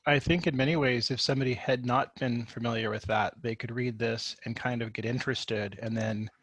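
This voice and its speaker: A man's voice: medium pitch, fairly even intonation, brisk, a bit bright, no room sound. A man hosting a podcast interview, asking questions in a rambling way with restarts. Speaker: podcast host.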